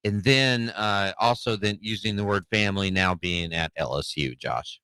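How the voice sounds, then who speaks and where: A man co-hosting a sports talk show in a studio, telling a story in a rambling, halting way. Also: on the low side, pushed and bright, clean tone, no echo, low-quality recording. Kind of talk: casual chat. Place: radio studio.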